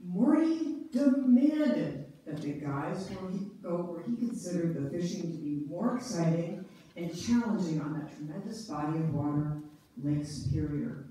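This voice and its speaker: A woman's voice: fairly low pitch, expressive, measured pace, dark tone. A woman reading scripted narration as a readers theater narrator, heard from the audience in a church. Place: church sanctuary, before an audience. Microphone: far camera among the audience. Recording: echoey, distant church hall, faint noise.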